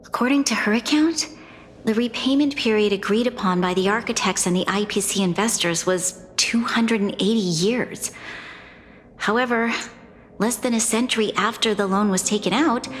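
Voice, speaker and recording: A woman playing an earnest, burdened young leader of a city in a video game, speaking in a formal, measured way. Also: medium pitch, natural voice. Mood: grave, troubled. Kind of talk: video game cutscene voice acting. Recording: long hall reverb, background music.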